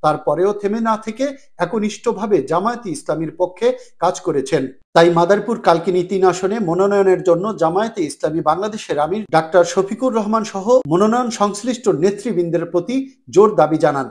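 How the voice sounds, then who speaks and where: A man's voice: high voice, fast, a little mellow. A man with a Bangladeshi accent narrating a scripted TV news report as a correspondent.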